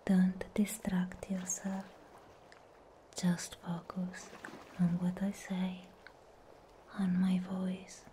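Woman as serene anime sea guardian for ASMR roleplay: breathy whisper, very slow, mellow, soothing. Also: fairly low pitch, completely flat delivery, slight background noise.

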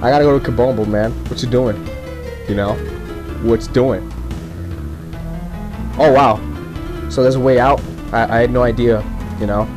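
A man's voice: medium pitch, steady tone, moderate pace, pauses often, over music. A man giving rambling, profane, casual commentary while he plays a video game. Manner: rambling, profane, laughs often. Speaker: gameplay commentator. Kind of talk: casual off-the-cuff gameplay commentary.

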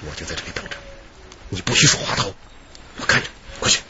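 An actor playing a controlled, threatening bank robber in a radio drama. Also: male voice, sits low, animated, quick pace. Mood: cold menace. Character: menacing male bank robber threatening his hostage. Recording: dull, lo-fi, noisy radio drama track.

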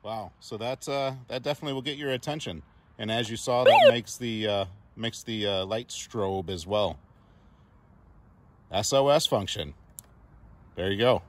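A man's voice: on the low side, normal speed, long pauses, very noisy. A man giving a casual, playful hands-on product demo in a chatty, informal way. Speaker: product reviewer.

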